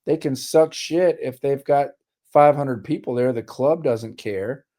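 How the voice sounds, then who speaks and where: Mellow-voiced man, voice a bit worn. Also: mid-range voice, measured pace. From Richmond, Virginia. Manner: candid, wry, matter-of-fact. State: slightly losing his voice. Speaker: musician guest. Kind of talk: casual interview chat.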